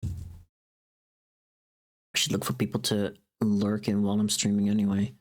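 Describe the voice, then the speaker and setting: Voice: low-pitched, monotone, moderate pace. A VTuber streamer chatting casually with viewers in a rambling, teasing, informal way with some mild swearing. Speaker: VTuber livestream host. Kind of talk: casual livestream chat with viewers.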